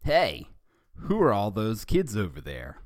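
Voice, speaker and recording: Brief: young male hedgehog hero, eager and upbeat, pitched higher than the man reading him, lively lilt, dry close sound. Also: measured pace, dry recording. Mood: curious.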